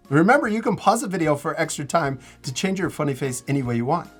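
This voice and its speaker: A man's voice: on the high side, expressive, normal speed, husky, soft background music. A man hosting a casual step-by-step art tutorial, giving encouraging, simple instructions for children. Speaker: host teaching drawing to children.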